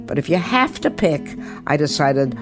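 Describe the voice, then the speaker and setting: A woman's voice: deep voice, big pitch swings, measured pace, over music. A woman hosting a podcast, presenting and commenting in an emphatic way, often repeating words for stress. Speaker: guest podcast host.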